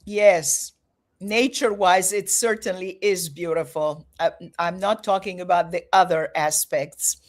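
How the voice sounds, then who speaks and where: A woman's voice: on the low side, steady tone, a little slow. A woman hosting an online panel of filmmakers, guiding them with friendly instructions over a video call. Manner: friendly, directive, gives instructions. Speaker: festival host moderating filmmakers.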